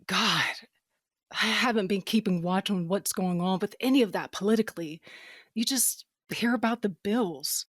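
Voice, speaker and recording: A woman's voice: medium pitch, normal speed, a bit bright, no echo, studio quality, natural voice. A woman playing a caring, earnest mother in a fantasy audio drama, with a natural conversational delivery. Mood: worried. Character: worried, caring mother held in a fantasy jail.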